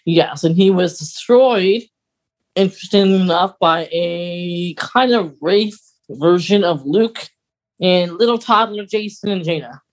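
A podcast co-host in casual fan chat, geeky and halting, getting animated when amused. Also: medium pitch. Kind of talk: casual fan chat about film lore.

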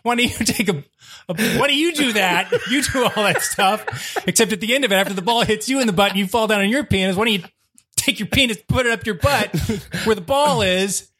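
A man's voice: high voice, fairly quick, pushed and bright. A man in an improvised comedy scene playing a hot-tempered, foul-mouthed job applicant who rants indignantly into his phone. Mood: indignant ranting. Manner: ranting, profane, indignant.

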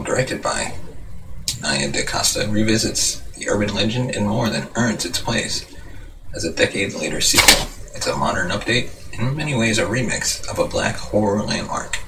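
A man with a low, creaky voice reads a scripted film segment as the show's host. His delivery halts now and then. He is picked up from a distance, with clear noise in the recording. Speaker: host of a movie news and review show. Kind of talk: scripted narration, reading box office news and a film review. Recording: noisy room, distant microphone.

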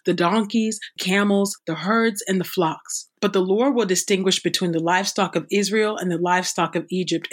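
A woman reading scripture aloud at a measured, steady pace. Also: lowish voice. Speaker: scripture reader.

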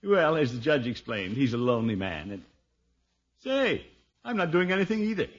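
Blustering, husky middle-aged radio uncle. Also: male voice, medium pitch, sing-song, normal speed. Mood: hearty, eager. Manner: blustering, stammering. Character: pompous, flustered, lovelorn middle-aged uncle, jealous of rivals. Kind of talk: 1950s radio comedy acting. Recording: dull 1950s radio broadcast recording.

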